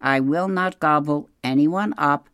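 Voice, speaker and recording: A reader voicing a polite, literal-minded female robot in a storybook, measured, earnest and formal. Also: mid-range voice, a bit bright, smooth, no echo, clean studio sound, pitched above the performer's own voice, close to an everyday voice. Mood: calm, polite.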